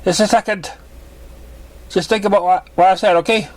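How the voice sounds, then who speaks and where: A man voicing a caring, motherly pegasus mare in a cartoon fan fiction reading, gentle but firm and reassuring. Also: high voice, fairly even intonation, normal speed, pitched above the performer's own voice, close to an everyday voice.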